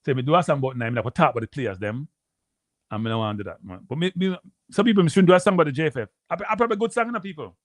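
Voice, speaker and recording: A Jamaican man hosting a live stream, rambling casually to his viewers and repeating himself, with a deep voice. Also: animated, racing, no room sound, clean studio sound. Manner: rambling, repeats phrases, addresses viewers as people. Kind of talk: casual livestream chat.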